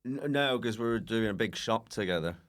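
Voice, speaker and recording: Giggly man with very animated pitch. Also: fairly quick. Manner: rambling, giggly, self-deprecating. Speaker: guest comedian. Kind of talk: casual comic anecdote chat.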